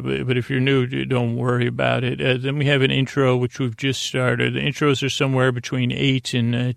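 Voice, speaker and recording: Very creaky man rambling haltingly. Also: medium pitch, no echo, clean studio sound. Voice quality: creaky, gravelly. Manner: halting, meandering, stammering and repeating words; deliberately slowed-down delivery. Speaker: podcast host. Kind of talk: rambling improvised sleep-podcast monologue.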